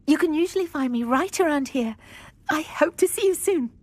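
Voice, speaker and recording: A gentle British witch for a fantasy game. Her voice sits high and is recorded dry. She speaks in a genteel, fluttery way, timid and wistful. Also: lively intonation, normal speed, no room sound. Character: gentle, wistful, timid middle-aged witch, fond of butterflies. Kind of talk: video game voice acting.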